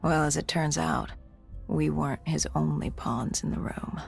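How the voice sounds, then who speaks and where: An actor playing an augmented soldier in a video game, haunted and resolute, recounting past missions in grave, measured storytelling. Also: medium pitch, steady tone, vocal fry, slightly rough, exaggerated voice. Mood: brooding, suspicious. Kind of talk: dramatic game cutscene narration. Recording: music under the voice. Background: music.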